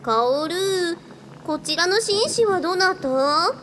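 A woman's voice: very high-pitched, lively intonation, slow pace, fairly bright tone, slight background noise, heavily acted. A woman playing the breezy, flirtatious spirit of a glamorous female film star in a radio drama. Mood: playful. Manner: breezy, coquettish.

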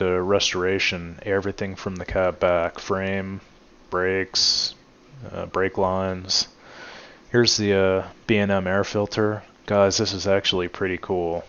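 Deep flat-voiced man in a garage. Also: a little slow, clean tone, lo-fi sound. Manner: relaxed, many "uh" fillers, addresses viewers as guys. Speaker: channel host showing his truck restoration. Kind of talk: casual walkaround narration. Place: home garage.

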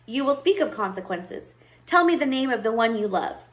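A woman voicing a terrible, miserly witch in a fairy tale, slow, indifferent and menacing. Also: fairly high pitch, animated, natural voice. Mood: threatening. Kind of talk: storybook character voice.

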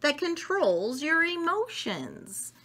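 A middle-aged woman reading a children's picture book aloud in an expressive way, with small asides, recorded in a room at home. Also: extremely high pitch, takes it slowly. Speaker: storybook reader. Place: room at home with wooden cabinets.

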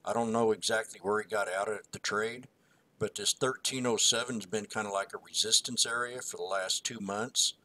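Male co-host, lowish, forceful tone, casual rambling chart analysis voice-over. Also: steady tone, normal speed. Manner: rambling, folksy, walks through price levels. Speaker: stock market co-host.

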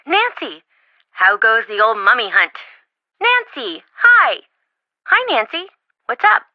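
A woman's voice: a little high, huge pitch swings, slow, dry recording, dull audio, full character voice. A woman playing a chatty, flighty, well-meaning young best friend in a video game, rambling and blurting things out over-eagerly. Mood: bubbly.

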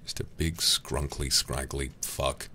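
A man with an extremely deep, creaky voice murmurs close to the microphone as he chats on a game stream. He is affectionate and drowsy, often slipping into an intimate whisper. There is clear background noise behind him. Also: steady tone, slow pace, sharp tone. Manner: affectionate, doting toward viewers. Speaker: livestreamer. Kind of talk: soft ASMR game-stream chat.

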